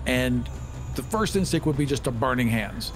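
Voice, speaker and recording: Man playing a tabletop game, medium pitch. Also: animated, moderate pace. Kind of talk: casual game-table chat, describing character actions. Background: music under the voice.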